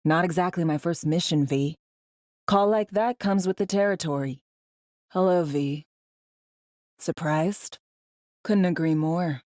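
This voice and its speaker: A woman's voice: fairly low pitch, unhurried, pauses often, darkish tone, no room sound, clean studio sound, natural voice. A woman voicing a tough, sardonic, world-weary government secret agent in a video game, with a blunt, slangy, wry way of talking. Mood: subdued, reflective. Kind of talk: video game character dialogue.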